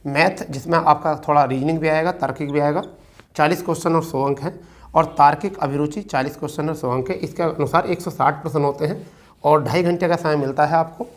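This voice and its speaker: A man's voice: on the high side, fairly even intonation, a little fast. A man teaching exam students in an informal explainer style, repeating points and often checking that listeners follow. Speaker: exam-prep teacher and presenter.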